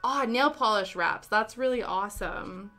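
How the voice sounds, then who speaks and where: A woman's voice: medium pitch, normal speed, sharp tone. Young woman, from Canada, casual stream chat, giggly, faint background music. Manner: chatty, reading and answering chat, giggly. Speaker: streamer chatting with viewers. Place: home, living in the UK.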